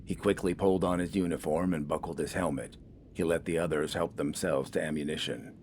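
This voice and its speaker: A man reading a scripted dramatic story in a measured, deliberate storytelling manner. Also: extremely low pitch, steady tone, darkish tone, slight background noise. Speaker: audiobook narrator.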